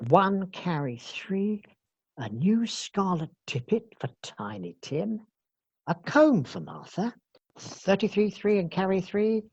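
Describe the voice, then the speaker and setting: A man playing a meek, husky, kindly clerk. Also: high voice, animated, slow pace, muffled recording, heavily acted. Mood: absorbed in sums. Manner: deferential, timid, hesitant. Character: meek, kindly, eager-to-please male clerk. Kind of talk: radio play acting.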